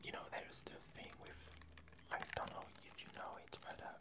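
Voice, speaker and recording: A young woman with a French accent chatting in whispered ASMR style, hesitant and pausing often. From France. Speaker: ASMR video creator.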